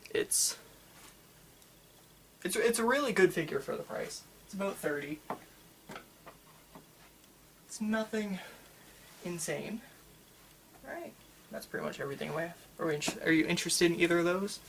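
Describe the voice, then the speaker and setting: ASMR store worker, mid-pitched and creaky, halting casual chat, enthusiastic fan, slight ambient noise. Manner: rambling, halting, enthusiastic about anime. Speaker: manga store worker in a roleplay. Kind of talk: ASMR roleplay, casual customer-service chat.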